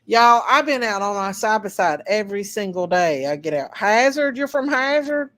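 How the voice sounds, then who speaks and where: A woman's voice: medium pitch, lively intonation, moderate pace, fairly bright tone. A middle-aged woman from southeast Kentucky with an Eastern Kentucky Appalachian accent, chatting folksily with her livestream viewers and laughing easily. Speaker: channel host. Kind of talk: casual livestream chat reading comments.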